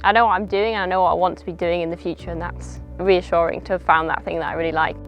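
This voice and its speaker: A woman's voice: fairly low pitch, steady tone, fast talker, faint music behind. A young woman who trained as a conservation apprentice, speaking in an earnest, matter-of-fact way in a documentary.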